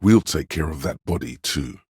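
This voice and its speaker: Very deep, imperious inner voice. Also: male voice, expressive, darkish tone, husky, dry recording, clean studio sound, full character voice. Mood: measured. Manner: pompous, imperious, measured. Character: stern, domineering inner voice of authority, haughty and commanding. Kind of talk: dramatic game narration.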